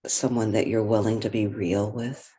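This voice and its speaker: A woman leading a guided meditation in gentle, unhurried phrases with pauses. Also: very deep voice, level tone, breathy edge.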